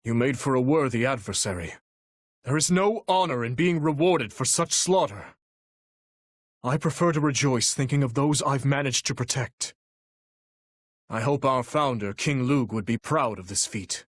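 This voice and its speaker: An actor playing an earnest, courteous young warrior prince in a video game, speaking formally and resolutely. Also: male voice, medium pitch, lively intonation, moderate pace, dry recording, studio quality, lightly acted. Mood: grave, gracious. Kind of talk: video game battle voice lines.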